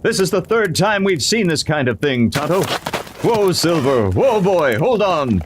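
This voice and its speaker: A man's voice: on the high side, very animated, measured pace, background noise. A man playing a brave masked western hero in a radio drama, earnest and authoritative. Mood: urgent.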